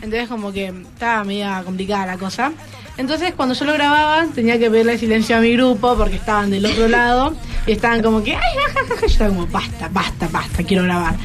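A man's voice: high voice, big pitch swings, quick pace, a bit bright. A teenage boy with an Argentine accent chatting casually as a student guest, telling things in a rambling, colloquial way. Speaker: secondary school student guest. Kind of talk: casual chat. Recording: music playing behind the voice.

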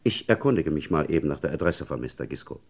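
Casting: courteous state prosecutor, extremely low voice, deliberate and official, for crime radio drama. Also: male voice, expressive, background noise. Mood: cautiously helpful. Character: measured, courteous male public prosecutor. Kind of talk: German crime radio play acting.

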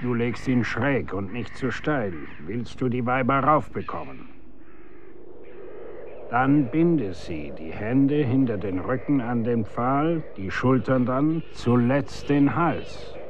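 An actor in a German radio drama playing a stern, grave executioner instructing his son in commanding, archaic, measured diction. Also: medium pitch, lively intonation, highs cut off, a little hiss or hum.

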